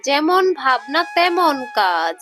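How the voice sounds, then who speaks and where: High-voiced woman narrating a children's story. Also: sing-song, slow pace, over music.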